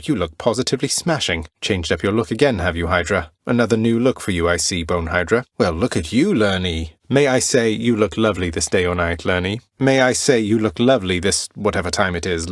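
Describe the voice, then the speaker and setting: Low-pitched, wry young underworld prince. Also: male voice, no room sound. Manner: courteous, dry, understated wit. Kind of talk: video game character voice lines.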